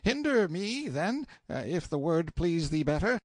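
Man doing a high, fussy medieval kitchen servant. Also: big pitch swings, measured pace, no room sound, studio quality. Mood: flustered. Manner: hesitant, polite archaic phrasing. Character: busy, fussy medieval undercook in a hurry.

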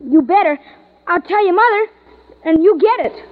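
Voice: very high-pitched, animated, normal speed. A performer playing an impatient, plaintive young boy with nagging, boyish slang in a 1950s radio drama. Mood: exasperated. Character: impatient, anxious young boy, practical and whiny. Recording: tinny noisy 1950s radio recording.

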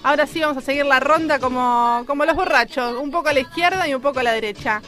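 A woman's voice: high-pitched, fast, bright, forceful tone, soft background music. A woman with an Argentine accent hosting a comedy radio show in a playful, teasing way. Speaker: radio host.

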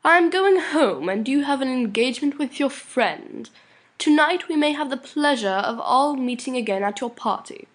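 A man voicing a brisk, genteel older woman, a captain's wife, in an audiobook. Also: extremely high pitch, animated, fairly bright tone, voice pushed up, full character voice. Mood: polite. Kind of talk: audiobook character voice.

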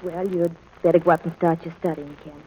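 A woman playing a gentle, soothing ranch mother in a 1940s radio drama, coaxing and protective, on a noisy old recording. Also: fairly low pitch, fairly even intonation, brisk, natural voice.

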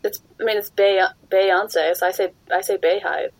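A young woman hosting a podcast, chatty and quick to laugh as she steers the talk back on topic. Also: mid-range voice, fairly even intonation, no room sound, rough recording. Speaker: podcast host. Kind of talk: casual chat.

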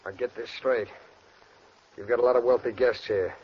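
An actor playing a ruthless, bossy armed robber in a 1940s radio crime drama, talking in rough, threatening slang. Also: male voice, medium pitch, steady tone, normal speed, close to an everyday voice. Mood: menacing. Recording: noisy muffled old radio recording.

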